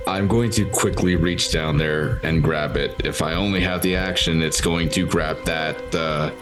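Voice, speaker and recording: A man with a very deep voice plays a tabletop roleplaying game. He casually states his character's actions while guitar-like music plays clearly behind him. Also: fairly even intonation, measured pace.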